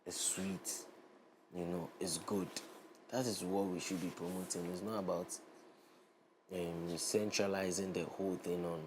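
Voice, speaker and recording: A fairly low-voiced young man from Ghana, chatting as an Afrobeats artist in an interview. He is loose and relaxed and picks up energy as he talks. Steady background noise sits clearly under his voice. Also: unhurried. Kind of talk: casual interview chat.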